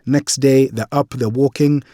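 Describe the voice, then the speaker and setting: A middle-aged man from Kenya, a surgeon guest on a podcast, telling stories in a relaxed, animated way and laughing easily. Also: medium pitch, measured pace, no room sound, clean studio sound. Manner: animated, laughs easily, acts out voices in anecdotes. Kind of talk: relaxed conversational storytelling.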